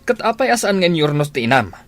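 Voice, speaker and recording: A man's voice: a little high, very animated, fast talker, a bit bright. A man acting a domineering, suspicious husband in a radio drama, contemptuous and boastful. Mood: critical, nagging.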